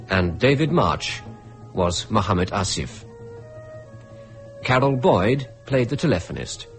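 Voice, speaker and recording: A man reading scripted closing credits as a radio announcer. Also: medium pitch.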